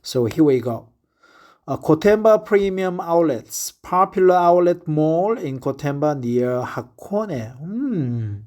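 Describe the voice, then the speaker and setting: A man's voice: fairly high pitch, lively intonation, takes it slowly, a little mellow. A man in his fifties from Korea, a native Korean speaker with a Korean accent, talking in a casual spoken diary and reading aloud, hesitant with many filler sounds. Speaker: vlogger.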